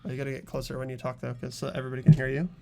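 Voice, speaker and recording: A man's voice: mid-range voice, flat delivery, racing, creaky, dark tone, soft background music. A man hosting a casual group playthrough, talking hesitantly with filler words. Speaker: host running the playthrough. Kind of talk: casual game chat.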